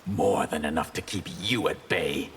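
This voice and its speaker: An actor playing a solemn, haughty fallen mage in video game banter. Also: male voice, low voice, unhurried, a bit bright. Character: grave, proud male sorcerer, a fallen mage. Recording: long hall reverb, faint background noise.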